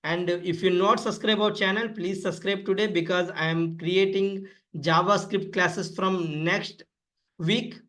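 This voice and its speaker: A man presenting a step-by-step software tutorial in an instructive way, sometimes spelling things out. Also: high-pitched, steady tone, a little slow. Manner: instructive, spells out words letter by letter.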